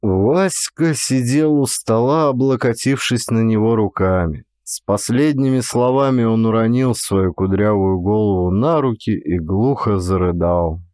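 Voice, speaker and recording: A man's voice: fairly low pitch, darkish tone. A man who works as an audiobook narrator, speaking as the reader and host of the recording. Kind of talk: literary audiobook narration and channel outro.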